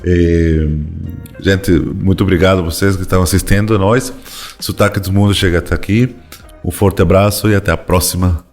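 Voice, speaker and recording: A man co-hosting a podcast, thanking guests and closing, a little halting. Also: sits low, steady tone, a little mellow, faint music behind. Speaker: podcast co-host. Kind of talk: closing remarks.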